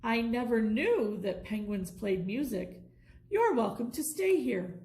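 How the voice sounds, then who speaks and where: A woman voicing a frowning, curious female hotel receptionist with a measured, questioning manner, in a children's storybook. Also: a little high, sing-song, a little mellow, slight background noise. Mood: interested.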